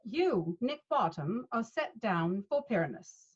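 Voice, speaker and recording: A woman's voice: mid-range voice, big pitch swings, a little slow, slightly rough. A woman playing a patient male leader of amateur players in a Shakespeare read, instructing expressively over a video call. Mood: businesslike. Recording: dull video-call audio.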